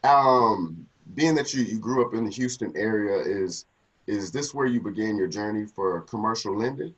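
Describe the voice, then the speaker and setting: A man hosting an interview over a video call, asking relaxed, friendly questions. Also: lowish voice, normal speed, low-quality recording. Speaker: host.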